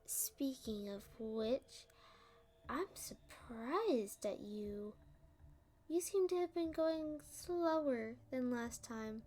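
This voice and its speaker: Sassy female supervillain for ASMR roleplay, acted by a woman: high-pitched, unhurried, smugly taunting. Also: animated, warm tone, heavily acted. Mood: playful, taunting. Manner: teasing, taunting, drawn-out delivery. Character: playful, sassy, smug young female supervillain.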